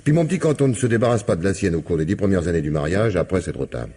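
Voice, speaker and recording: A man's voice: low voice, lively intonation, very fast, dark tone, slightly rough. An actor playing a genial, unflappable elderly father in a French radio drama, exclamatory and fatherly, often repeating his words. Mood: reassuring, philosophical. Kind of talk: French radio drama, theatrical comic dialogue.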